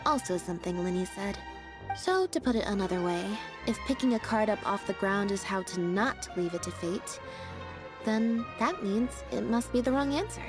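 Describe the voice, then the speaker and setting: An actress voicing a calm, deadpan young woman, a stage magician's assistant in a video game, speaking in a flat, understated, dryly matter-of-fact way. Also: mid-range voice, normal speed, music in the background, natural voice.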